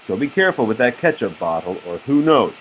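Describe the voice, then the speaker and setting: A man's voice: medium pitch, sing-song, fairly quick. A man reading a children's story aloud as a father to his child, with a patient manner. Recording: slightly muffled, faint background noise.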